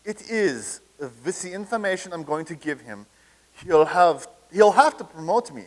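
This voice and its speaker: A man plays an excitable, thin-skinned military officer in a comic stage play. His voice sits high for a man, and he boasts and blusters. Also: normal speed, low-quality recording. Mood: earnest, plotting. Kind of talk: staged play reading, comedic.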